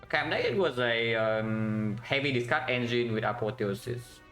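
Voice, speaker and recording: A man with a Vietnamese accent talks through his card game plays in a casual, thinking-aloud stream, tossing in "yeah?" at the end of lines. His pitch sits mid-range for a man. Faint game music plays underneath. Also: moderate pace, fairly bright tone. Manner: thinks aloud, tag questions "yeah?", chats with viewers. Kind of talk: casual live-stream commentary.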